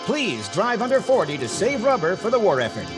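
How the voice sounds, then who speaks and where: A man's voice: high voice, wildly swooping pitch, a little fast. A man playing a wry 1940s radio comedian, narrating with dry, mock-serious delivery. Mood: sincere sign-off. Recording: music behind the voice.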